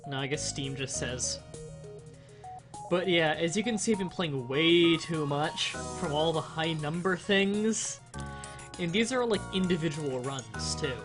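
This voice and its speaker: A man's voice: fairly high pitch, a little slow, music in the background. A slightly sick young man with a nasal voice, casually explaining a game in a rambling stream commentary. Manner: rambling, explains game mechanics with fillers and restarts. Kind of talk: casual let's-play commentary.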